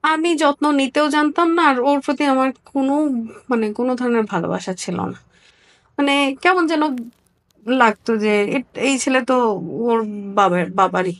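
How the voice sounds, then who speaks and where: A young woman with a Bangladeshi accent giving a candid personal account in an interview, speaking earnestly and haltingly, with emphatic repetition. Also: sits high. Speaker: interview guest, nurse.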